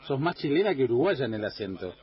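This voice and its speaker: A man's voice: mid-range voice, sing-song, fast talker, highs cut off, a crowd in the background. A man, a radio interviewer asking brief questions. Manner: brief, with short affirming murmurs.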